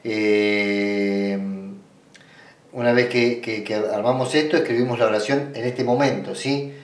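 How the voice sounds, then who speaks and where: A man with an Argentine Spanish accent giving an informal step-by-step demonstration in a halting way, often rephrasing himself. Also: mid-range voice, steady tone. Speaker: ritual practitioner giving a tutorial. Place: small indoor room at a table. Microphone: camera mic away from the speaker. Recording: roomy sound, distant microphone.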